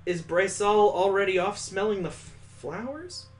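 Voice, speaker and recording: A man's voice: sits high, expressive, normal speed. A man reading a visual novel aloud as a let's play host, adding sarcastic, playful asides.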